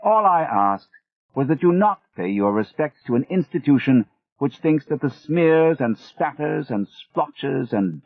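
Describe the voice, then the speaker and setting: A man voicing a pompous, indignant older male artist with a British accent, speaking slowly and emphatically. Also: medium pitch, no echo, voice pushed up. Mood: scornful. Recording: dry, tinny phone-like sound.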